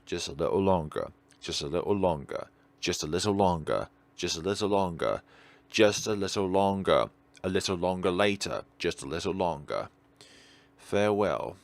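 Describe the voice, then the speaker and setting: Male, very low pitch, animated melody, musing let's play host, digressive delivery. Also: vocal fry. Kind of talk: reading a visual novel aloud with rambling off-script commentary.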